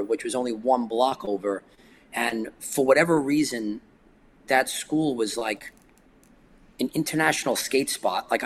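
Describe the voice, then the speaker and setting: A man's voice: medium pitch, slight background noise, rough recording. Rambling New man in his forties. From New York, United States. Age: 40s. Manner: rambling, filler-heavy storytelling. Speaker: guest skateboarder. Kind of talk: casual podcast chat.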